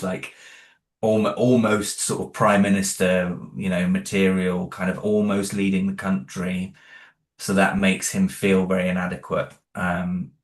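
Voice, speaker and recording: A man being interviewed about the audio drama he created, hesitant, with many fillers and restarts. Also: low-pitched. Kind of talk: interview guest.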